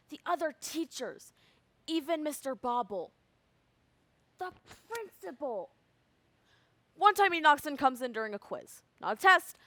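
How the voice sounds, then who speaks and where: An anxious, animated school student tells a story in a high voice, performed by a young woman. The monologue is conversational yet emphatic, broken by dramatic pauses. The recording is dry. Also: dry recording. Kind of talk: theatrical monologue.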